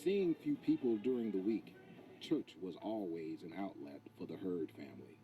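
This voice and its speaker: A man with an American accent narrates a scripted TV news package. His pitch is low but moves widely as he reads, in the manner of a feature reporter. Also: lively intonation, moderate pace, highs cut off, over music.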